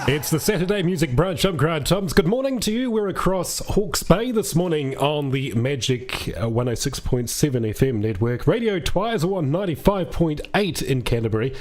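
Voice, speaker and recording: A man's voice: fairly high pitch, big pitch swings, moderate pace, hardly any pauses, darkish tone. A New Zealand man presenting a music radio show with friendly, chatty DJ patter. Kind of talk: upbeat music-radio DJ links. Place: radio studio. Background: music under the voice.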